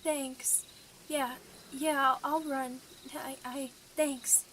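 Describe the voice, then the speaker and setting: A woman's voice: sits high, flat delivery, drawn-out words, a bit bright, slight background noise, heavily acted. A woman voicing a timid, trusting young werewolf pup in an audio roleplay, with a hesitant, stammering delivery. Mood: shaken, grateful. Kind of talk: ASMR audio roleplay acting.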